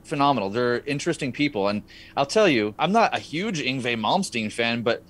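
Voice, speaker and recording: A man in his thirties talking in a relaxed, laid-back way on a video call, with casual swearing. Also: mid-range voice, fairly quick. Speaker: guitar company rep and podcast host.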